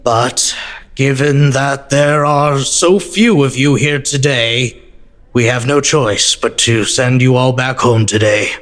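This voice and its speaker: A man playing a solemn school dean giving a deliberate, official address in an audio drama. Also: medium pitch, a bit bright. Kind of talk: formal announcement in audio drama.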